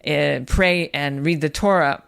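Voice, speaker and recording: A man's voice: fairly high pitch, fairly even intonation, a little slow, gravelly, a bit bright, clear, resonant tone. A man co-hosting a casual news podcast, leading the conversation through the segments. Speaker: podcast co-host.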